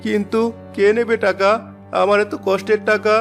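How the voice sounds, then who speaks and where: A man voicing a poor, kind-hearted father who works as a cart pusher in a cartoon, talking in a humble, soft-spoken way. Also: high-pitched, little pitch movement, moderate pace, soft background music. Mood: distraught.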